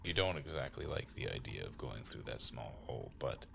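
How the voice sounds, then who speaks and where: A man chatting as a player in a tabletop role-playing game, halting and understated, over background noise. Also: rumbling low, lively intonation, a bit bright. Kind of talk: casual in-game chat. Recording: constant clear background noise.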